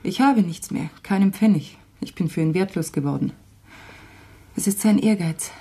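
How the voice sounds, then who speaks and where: A desperate young woman forsaken by her lover for a radio play. Her voice is husky and creaky with a dark, muted tone, and she pleads in short, anguished phrases. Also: lowish voice. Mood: bitter anguish. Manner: anguished, halting, dramatic delivery in short phrases. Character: desperate, abandoned young French mistress pleading with a friend. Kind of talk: German radio play acting.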